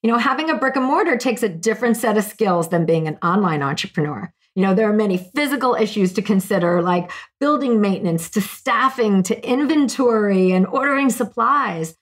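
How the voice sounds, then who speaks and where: A woman's voice: mid-range voice, animated, a little fast, hardly any pauses. A woman hosting a business podcast, speaking with enthusiasm and lots of "you know" as she introduces and interviews a guest. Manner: upbeat, enthusiastic, uses fillers like "you know". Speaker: podcast host. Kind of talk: scripted intro then casual interview.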